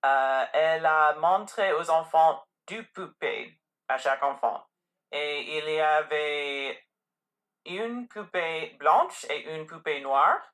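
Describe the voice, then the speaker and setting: Podcast host: man, very bright forward voice, slightly high, hesitant conversational questioning. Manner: hesitant, halting phrasing. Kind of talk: conversational interviewing.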